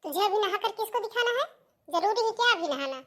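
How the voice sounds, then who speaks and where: A woman voicing a bossy, taunting older mother-in-law in a cartoon family drama, with a sharp, nagging manner. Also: very high voice, lively intonation, fast. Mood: scolding.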